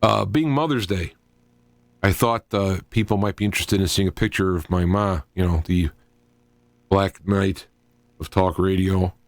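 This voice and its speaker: A middle-aged man with a fairly low voice hosts a talk radio show on his own. He speaks informally and haltingly, drifting between thoughts as he works them out aloud. The sound is close and dry, with little room to it. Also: no echo. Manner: halting, digressive, thinking aloud.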